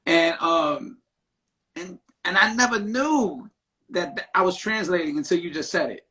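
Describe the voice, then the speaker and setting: A man with a fairly high voice hosts a casual live-stream conversation. He talks in an animated, emphatic way and often closes his points with a quick "right?". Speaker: podcast host; therapist.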